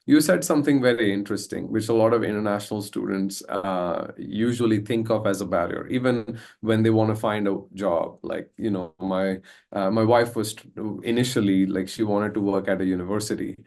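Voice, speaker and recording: Brief: male podcast host, low voice, casual, affirming and hesitant, with lots of fillers. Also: brisk, creaky, darkish tone. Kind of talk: casual interview chat.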